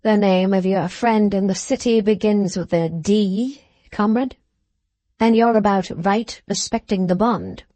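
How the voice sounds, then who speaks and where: A woman voicing a composed male soldier in a novel, speaking in a dry, measured manner. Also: medium pitch, warm tone, dry recording, lightly acted. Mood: composed, wry.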